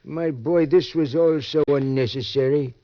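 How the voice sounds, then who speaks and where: A man playing a patient, paternal older gentleman, grave and measured, in a 1940s radio drama. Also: a little high, dry recording, lightly acted. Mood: gently regretful. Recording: dull, lo-fi old radio recording.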